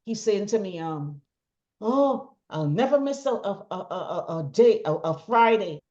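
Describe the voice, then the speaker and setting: A woman's voice: mid-range voice, animated, measured pace, dark tone. Talkative middle-aged woman, dull lo-fi sound. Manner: talkative, emphatic, repeats phrases for stress. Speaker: interview guest, bereaved mother. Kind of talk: personal testimony in conversation.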